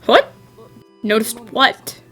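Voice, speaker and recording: A high, youthful cartoon boy's voice, acted by a woman, earnest and polite. He is a loyal, inquisitive apprentice in an animated dub. Soft music plays behind him. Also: slow, heavily acted. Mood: surprised. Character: earnest young boy, loyal apprentice of a professor. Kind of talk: animated fan dub acting.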